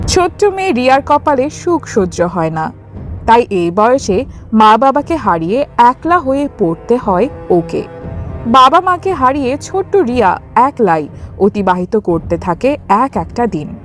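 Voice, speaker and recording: A woman narrating a scripted cartoon story in an expressive storytelling style. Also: on the high side, a little slow, soft background music.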